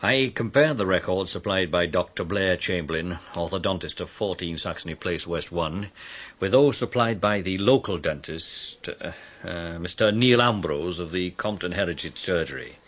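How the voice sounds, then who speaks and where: An actor playing a precise, formal dental pathologist, speaking with measured emphasis in a radio drama. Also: male voice, low-pitched, expressive, a bit bright. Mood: formal, certain. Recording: dull radio recording, faint background noise.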